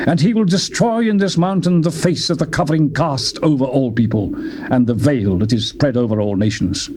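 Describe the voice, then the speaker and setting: A man reads scripture in a solemn, declamatory way, climbing to fervent peaks. His voice sits in a middle pitch. A clear hiss from the old tape runs under every line. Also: normal speed. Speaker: Bible reader. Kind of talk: dramatized scripture reading.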